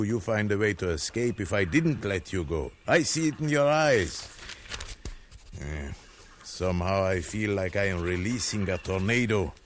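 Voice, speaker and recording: An actor playing a gruff, scolding slave-owning ship captain with a soft side, blustering theatrically in 1950s radio drama. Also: male voice, sits low. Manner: blustering, theatrical, punctuated with querying grunts. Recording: faintly noisy vintage radio recording.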